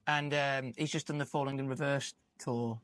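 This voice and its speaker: A man's voice: mid-range voice, fairly even intonation, normal speed, a bit bright, no room sound. A young man with an English accent chats as the guest in an interview. He talks in a casual, rambling way, swears now and then and acts out the dialogue in his stories. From England. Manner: chatty storyteller, swears, acts out quoted dialogue. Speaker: musician guest.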